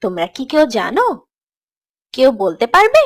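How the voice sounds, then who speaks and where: A woman playing a gentle, encouraging teacher who tells scripted religious stories to children in a cartoon. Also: high voice, huge pitch swings, moderate pace, no room sound, studio quality, full character voice. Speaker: storyteller, teacher.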